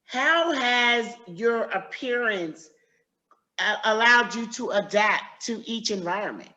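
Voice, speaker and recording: A woman's voice: fairly high pitch, lively intonation, takes it slowly, pushed and bright, dull audio. A woman hosting a conversational interview in an effusive, complimentary manner. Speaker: interviewer, host.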